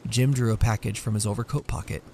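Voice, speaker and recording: A man giving a measured, theatrical reading of a story as scripted narration. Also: on the low side, fairly even intonation, creaky, mellow, a little hiss or hum. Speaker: story reader.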